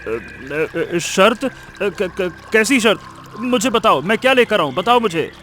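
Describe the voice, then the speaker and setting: A man playing a greedy, excitable treasure hunter in a melodramatic story, feverishly repeating his words. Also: sits high, takes it slowly, background noise. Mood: anxious, pleading. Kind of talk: melodramatic animated story acting. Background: music.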